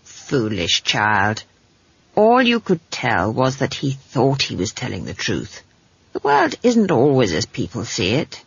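Storybook role: brusque old witch, female, played by a woman, very husky and low, wry scolding delivery with lively swings. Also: a little slow, no echo, lo-fi sound, heavily acted. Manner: sour, brusque, cryptic, scolding.

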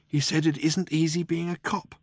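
A man's voice: fairly high pitch, flat delivery, normal speed, breathy edge, no echo, clean studio sound, pitched above the performer's own voice. A man voicing a brash, blunt, offhand space adventurer in an audiobook. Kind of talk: audiobook character dialogue.

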